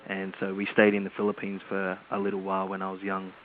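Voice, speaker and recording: A man from Australia, calmly answering as an author being interviewed. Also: sits low, fast. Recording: phone line with faint noise.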